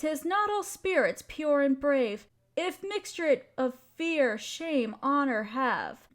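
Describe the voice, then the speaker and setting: High-voiced, slow-paced affectionate wife played by a woman. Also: animated. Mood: tender recital. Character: affectionate, teasing wife sharing love poems with her partner. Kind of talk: intimate roleplay audio with poetry reading.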